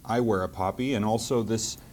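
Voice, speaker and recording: A man with a Canadian accent speaking as a mayor to schoolchildren, in a measured, simply worded way. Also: lowish voice, fairly even intonation, a little mellow, a little hiss or hum. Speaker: mayor hosting and reading to children. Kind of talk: public talk and storybook reading to schoolchildren.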